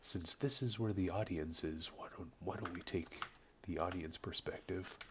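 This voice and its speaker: A man with a voice slightly off from a cold, casually commentating a strategy game replay, relaxed and rambling with many ums. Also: low-pitched, normal speed, background noise. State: getting over a cold. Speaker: game caster. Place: home room with a buzzing light.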